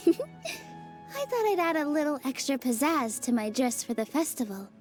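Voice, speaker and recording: A woman voicing a sweet, gentle young dancer from a theater company, soft-spoken and admiring. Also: high-pitched, sing-song, a little slow, faint music behind. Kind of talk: game cutscene voice acting.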